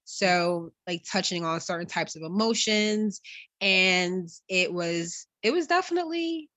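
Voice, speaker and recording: A woman with a bright, forward voice set a little lower than average. She talks reflectively as an interview guest about performing. The recording is very dry. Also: expressive, a little slow, dry recording. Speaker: interview guest, stage actress. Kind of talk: reflective conversational talk.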